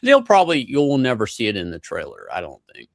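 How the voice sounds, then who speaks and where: Gravelly, animated low-voiced man. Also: fast, no room sound, clean studio sound. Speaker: livestream guest. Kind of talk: casual chat.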